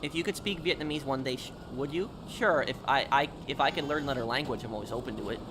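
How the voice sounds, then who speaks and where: A man with a mid-pitched voice talks casually on a gaming livestream over clear vehicle noise. He explains himself emphatically, often halting and circling back to repeat his point. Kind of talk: casual gaming stream chat, answering viewers.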